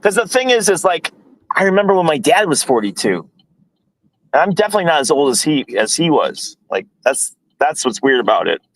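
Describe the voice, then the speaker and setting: A man's voice: fairly high pitch, very animated, a little fast, fairly bright tone, low-quality recording. A man in his forties co-hosting a sports show with casual, joking banter and a teasing way of talking. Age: 40s.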